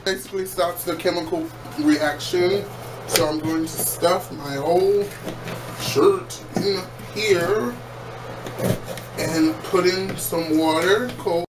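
A young man with a fairly high voice talks slowly and easily through a home craft project, tossing in playful asides. He is recorded on a camera mic in a small echoey bathroom, with a faint hiss of background noise. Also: steady tone. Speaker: DIY vlogger. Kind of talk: casual how-to vlog.

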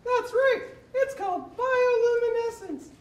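A woman's voice: very high voice, measured pace. A woman voicing a boastful little glowing fish in a live puppet show, chirpy and exclamatory. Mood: perky. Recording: dull, roomy theatre recording.

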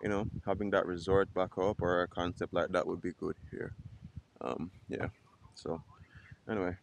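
A young Jamaican man talks in a loose, easygoing travel-vlog way, full of ums and musing asides. His voice sits a bit low, and he films himself at arm's length outdoors. Wind and water noise run clearly under him. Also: normal speed. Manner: relaxed, chatty, thinking aloud with fillers. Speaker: travel vlogger. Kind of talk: casual travel vlog to camera. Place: outdoors on a lake island and boat. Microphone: action camera at arm's length.

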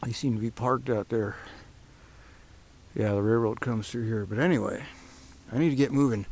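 Gravelly middle-aged man vlogging outdoors. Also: medium pitch, normal speed, a little mellow. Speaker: hiker filming a vlog. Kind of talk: casual outdoor vlog narration to camera. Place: open rocky desert, outdoors. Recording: outdoor camera mic with faint noise.

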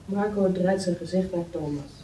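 A woman's voice: on the low side, normal speed, mellow. A woman reading screenplay stage directions aloud as scripted narration at a table read in a meeting room. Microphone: far camera. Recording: roomy, distant mic, faint noise.

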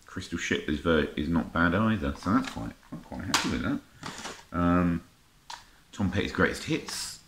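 A man's voice: low voice, big pitch swings, normal speed, a bit bright. A middle-aged man chatting casually and unscripted to camera as a vlogger, with muttered asides and exclamations as he browses. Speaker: vlogger showing a music haul. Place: indoor room lined with record shelves. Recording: roomy echo, faint noise, clicking handling sounds.

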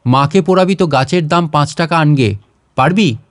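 A man's voice: on the high side, moderate pace, no echo, clean studio sound, lightly acted. A man voicing an irritable, contemptuous landlord's steward in a storybook reading, scolding and cursing haughtily.

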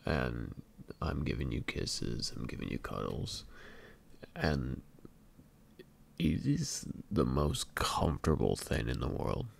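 A man playing an affectionate, clingy emo boyfriend in an intimate ASMR roleplay, murmuring tenderly and haltingly with a breathy, gravelly, very deep voice. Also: wildly swooping pitch, exaggerated voice. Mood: adoring, tender. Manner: tender, unhurried, halting and doting.

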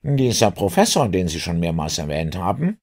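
A man's voice: sits low, very animated, normal speed, darkish tone, exaggerated voice. A man voicing an earnest, sceptical police inspector with a questioning, insistent manner in an audiobook. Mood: puzzled, probing.

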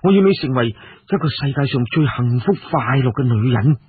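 Man playing a husky, tender, remorseful hero. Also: medium pitch, expressive, moderate pace, phone-quality sound. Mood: earnest, protective. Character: tender, remorseful young male hero, protective lover.

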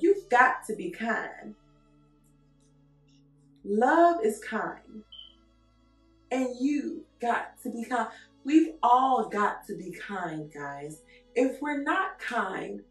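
A woman hosting a children's show, chatting casually and emphatically, recorded in a roomy space from a distance. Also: fairly high pitch. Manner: emphatic, repetitive, conversational. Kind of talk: casual chat to young viewers. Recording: roomy, echoing, distant microphone.

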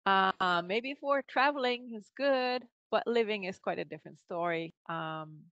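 A woman's voice: medium pitch, unhurried, fairly bright tone, no room sound, lo-fi sound. A middle-aged woman with a French Canadian accent, chatting as a guest on a video call and laughing often between filler words. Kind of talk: casual interview conversation. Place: home office with bookshelves. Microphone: podcast mic on video call.

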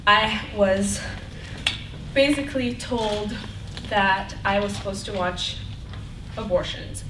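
A young woman from Bulgaria giving an earnest, animated personal talk as a student speaker in a brick-walled lecture hall. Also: mid-range voice, recorded from a distance. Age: young adult. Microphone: far room mic. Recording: echoey hall recorded from afar, noisy.

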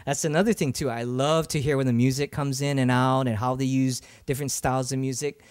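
A man's voice: medium pitch, little pitch movement, a little fast, a little mellow, clean tone, dry recording, clean studio sound. A man in his thirties from Hawaii talking casually and playfully as a filmmaker being interviewed, speaking into a handheld mic. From Hawaii, USA. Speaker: filmmaker answering interview questions. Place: small room indoors, seated on a couch. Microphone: handheld microphone held close.

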